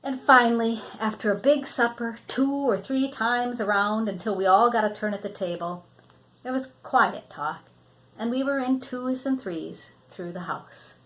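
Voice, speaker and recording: A woman's voice: on the high side, measured pace, fairly bright tone, low-quality recording. An older woman reading a children's storybook aloud, expressively, drawing out words for emphasis. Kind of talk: read-aloud storybook narration.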